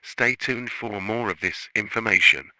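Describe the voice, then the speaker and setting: A man's voice: on the low side, measured pace, sharp tone, no echo, poor audio. A man reading a scripted emergency broadcast message as an alert announcer.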